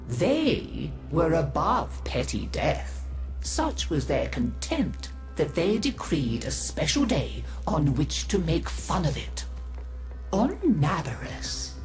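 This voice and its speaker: An old grandmother spinning a macabre game tale in a low voice that swoops widely for drama. She savours every phrase and draws it out, with music playing behind her. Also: a little mellow, full character voice. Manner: savouring, dramatic, drawn-out delivery. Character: theatrical old grandmother telling gruesome tales. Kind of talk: theatrical scripted storytelling in a video game.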